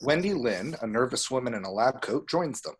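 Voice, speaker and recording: A man's voice: mid-range voice, animated, a little fast, husky. A man reading scene descriptions as the narrator of an amateur screenplay table read. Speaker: stage direction reader.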